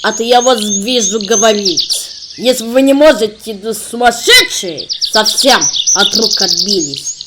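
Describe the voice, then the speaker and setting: A woman acts out a fussy children's cartoon scold with a melody that leaps up and down. The delivery is measured and emphatic, every rule pressed home. Loud bird chirps and clicks sit behind the voice. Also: mid-range voice, animated, heavy background noise, lightly acted. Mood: exasperated.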